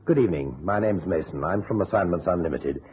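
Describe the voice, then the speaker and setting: An actor playing a businesslike, concerned agency boss in an old radio detective drama, direct and politely insistent. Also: male voice, deep voice, fast talker, a little hiss or hum, close to an everyday voice. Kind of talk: golden-age radio detective acting. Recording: muffled, rough old radio recording.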